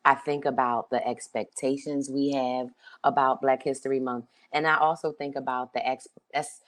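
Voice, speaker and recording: A woman in her fifties from Philadelphia, hosting a podcast and talking through personal memories in a conversational way, with many ums and rhetorical "right?" asides. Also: a bass voice. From Philadelphia, United States. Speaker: podcast host. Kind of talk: reflective personal talk.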